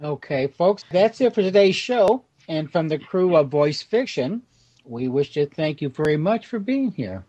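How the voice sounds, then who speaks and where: A man's voice: fairly high pitch, sing-song, measured pace, mellow, dull audio. A man hosting a panel discussion and putting questions to the guests. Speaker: host.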